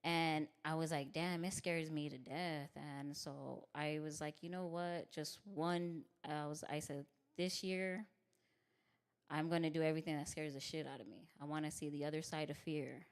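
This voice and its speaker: A young woman stand-up comic from Tucson speaks in a low, deep voice with real bass in it. Her tone is smooth and resonant, and she talks openly with lots of "like" and "you know what I mean", laughing easily as she tells her story. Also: steady tone, normal speed. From Tucson, Arizona. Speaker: stand-up comedian, podcast guest. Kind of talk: casual interview conversation.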